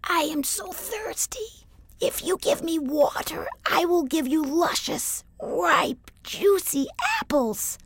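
High pleading whisper, tiny dying apple tree, as a woman storyteller puts it on, slow and begging, dry close sound. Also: dry recording. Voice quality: faint whispery.

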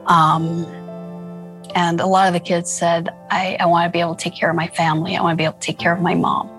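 A woman telling stories from her work in an interview, earnest and reflective, with many pauses and fillers. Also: fairly low pitch, level tone, fairly bright tone, soft background music. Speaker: founder of a refugee school. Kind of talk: interview storytelling.

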